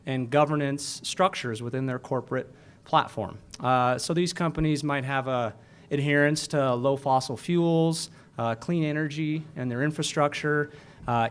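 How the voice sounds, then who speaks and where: Smooth-voiced man giving a business pitch. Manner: explanatory, asks rhetorical questions. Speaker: financial planner, guest presenter. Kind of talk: short business pitch to an audience.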